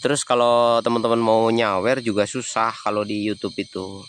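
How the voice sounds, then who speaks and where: Man with a Javanese accent, low voice, relaxed streamer chatting to viewers, faint night ambience. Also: normal speed, a little hiss or hum. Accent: Javanese (Banyumas). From Banyumas, Central Java. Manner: chatty, answers viewer comments. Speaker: livestreaming explorer. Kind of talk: casual livestream chat.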